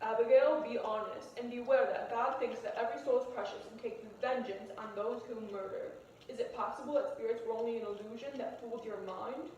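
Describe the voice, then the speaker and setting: A woman's voice: fairly high pitch, brisk, a little mellow, muffled recording, natural voice. A woman playing a stern male judge who questions witnesses formally in an amateur puppet-show drama. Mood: grave. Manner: formal, interrogating. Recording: roomy echo, distant mic, faint noise.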